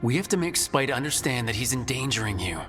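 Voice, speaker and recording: A man's voice: medium pitch, big pitch swings, fairly quick, fairly bright tone, soft background music. An actor playing a wry, encouraging male leader of a fantasy party in a video game. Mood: urgent concern.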